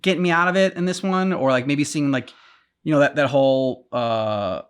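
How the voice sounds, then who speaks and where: A man co-hosting a game reaction show, chatting casually with halting, rambling sentences full of "like" and "um". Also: fairly high pitch, clean tone. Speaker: co-host of a game reaction channel.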